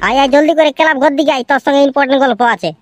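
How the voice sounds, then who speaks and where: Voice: sits high, very fast, crowd noise, natural voice. An actor playing a bossy, teasing village club ringleader in a comic cartoon, pushy and mock-threatening, with a rural Purulia Bengali accent.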